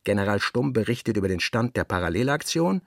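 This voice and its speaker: Husky, reflective novelist. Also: male voice, fairly low pitch, very animated, fast, no room sound, studio quality, exaggerated voice. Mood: analytical, wry. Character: reflective, self-doubting male novelist reading his own notes. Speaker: actor reading a novelist's notes and letters. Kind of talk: radio drama literary reading.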